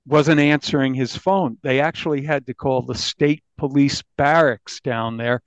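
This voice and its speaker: A man's voice: mid-range voice, no echo, dull audio. A man being interviewed as a journalist, talking conversationally and often repeating words as he goes.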